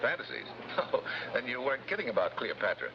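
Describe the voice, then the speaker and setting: An actor playing a tough, wry middle-aged American secret agent with a sardonic, clipped manner in 1950s adventure dialogue and narration. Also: male voice, medium pitch, wildly swooping pitch, fast, gravelly, noisy background. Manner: sardonic, clipped hard-boiled delivery. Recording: muffled old TV soundtrack, loud music.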